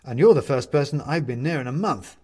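A man voicing a flustered, awkward, newly softened man who stammers hesitantly in an audiobook story. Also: mid-range voice, animated, a little fast, breathy edge, pitched above the performer's own voice. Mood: awkward, eager.

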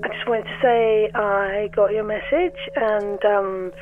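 Audio drama role: actress as middle-aged mum on voicemail, slow, halting and tender, through a thin phone line. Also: female voice, mid-range voice, steady tone, close to an everyday voice. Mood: apologetic, awkward. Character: wistful, loving mother leaving her child a voicemail. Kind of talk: naturalistic audio drama acting. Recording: tinny phone message. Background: music.

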